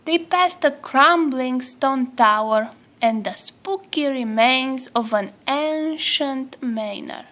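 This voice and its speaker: High-voiced woman reading a storybook, unhurried. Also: fairly bright tone. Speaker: storybook reader. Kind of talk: read-aloud children's story narration.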